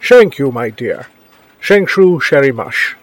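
A man's voice: medium pitch, big pitch swings, slow pace, no echo, rough recording. A man playing a flirtatious, courtly Scottish charmer with a Scottish accent in a comedy audio drama. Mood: grateful. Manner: courtly, wheedling endearments. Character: flirtatious, smooth-talking Scottish man named Mac.

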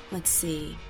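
Soft-spoken woman musing aloud, faint music. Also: fairly low pitch. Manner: thoughtful, rambling with fillers and restarts. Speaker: voice actor for an audio drama. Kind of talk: interview answers.

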